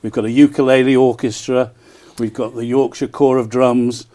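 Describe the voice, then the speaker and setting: Mellow-voiced man, enthusiastic interview guest. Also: medium pitch, level tone, normal speed. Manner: enthusiastic, frequent hesitant ers. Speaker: town crier and festival promoter, interview guest. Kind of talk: promotional broadcast interview answers.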